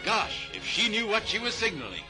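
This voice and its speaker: A man's voice: high voice, wildly swooping pitch, normal speed. A British man delivering scripted 1930s newsreel commentary in a clipped manner, on a vintage soundtrack. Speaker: newsreel narrator. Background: music.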